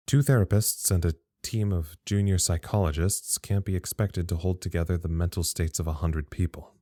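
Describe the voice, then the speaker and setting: Low-voiced, weary, wry male psychologist, played by an actor. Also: steady tone, normal speed, mellow, no echo, clean studio sound, natural voice. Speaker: psychologist character in audio drama. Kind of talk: audio drama acting, reflective monologue.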